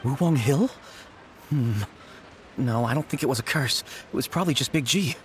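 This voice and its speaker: A man's voice: medium pitch, moderate pace, a little hiss or hum, natural voice. An actor playing an anxious young man in a game, haunted by the loss of his childhood friend, speaking in a subdued, hesitant way. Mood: worried. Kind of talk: game voice acting.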